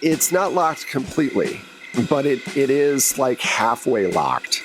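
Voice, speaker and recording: A man's voice: mid-range voice, very animated, a little slow, soft background music. A man running a tabletop roleplaying game, narrating in a conversational, improvised way as game master. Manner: conversational, second-person storytelling.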